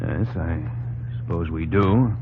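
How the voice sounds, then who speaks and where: An actor playing a wary, plain-spoken army lieutenant in an old-time radio drama, understated and hesitant. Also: male voice, sits low, slow, slight background noise. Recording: tinny old radio recording.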